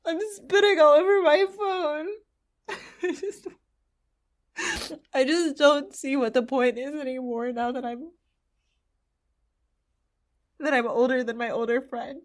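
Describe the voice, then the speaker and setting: A despairing young woman pours out a tearful audio diary. Her voice sits high, and she blurts her self-pity like a private confession in an intimate audio drama. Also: a little slow, pauses often. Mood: distraught, despairing. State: crying. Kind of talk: naturalistic audio drama monologue.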